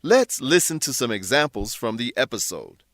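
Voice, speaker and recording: A man's voice: mid-range voice, sing-song, moderate pace, fairly bright tone, dry recording, clean studio sound. A man hosting an English lesson, reading scripted explanations in a didactic way with stressed key words. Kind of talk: scripted instructional narration.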